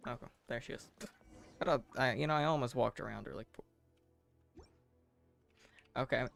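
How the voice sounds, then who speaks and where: Young guy game streamer, gravelly fry, rambly and deadpan, faint music behind. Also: mid-range voice, moderate pace. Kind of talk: casual live gameplay commentary.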